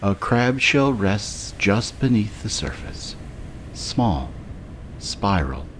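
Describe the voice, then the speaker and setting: Male meditation narrator, low register, very unhurried scripted delivery with long pauses. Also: big pitch swings, a little hiss or hum. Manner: slow, measured, with long pauses.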